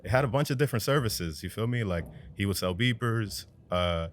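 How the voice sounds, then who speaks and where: A man from New Jersey in his thirties, a barber telling his story as a podcast guest in relaxed slang, often adding "you know what I mean". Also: on the low side, a little fast, a little hiss or hum. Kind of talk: casual storytelling.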